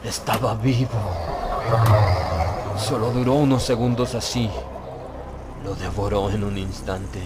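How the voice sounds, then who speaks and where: A man's voice: fairly low pitch, fairly even intonation, unhurried, long pauses, heavy background noise. A man reading a horror audiobook as a dramatic, grave, suspenseful first-person narration. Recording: loud background music under the voice. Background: loud music.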